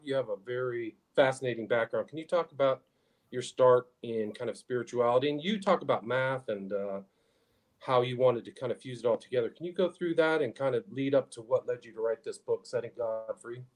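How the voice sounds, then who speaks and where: A man's voice: medium pitch, fairly quick. A man hosting a podcast, introducing and questioning a guest in a casual interview style. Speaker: podcast host.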